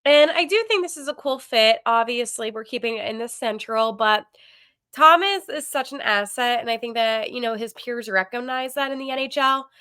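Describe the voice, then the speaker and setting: A woman's voice: a little high, normal speed, pushed and bright, clear, resonant tone. A woman hosting a sports podcast, chatting with opinions in a congested, nasal voice from a cold, with frequent ums and likes. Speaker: podcast host.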